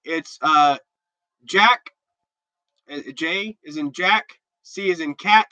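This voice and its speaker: A man's voice: fairly high pitch, slow pace, bright, forceful tone, no echo, highs cut off. A stuffed-up man hosting a casual livestream, chatting loosely and answering viewer comments with many restarts. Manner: rambling and chatty, with repeated restarts. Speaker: livestream host.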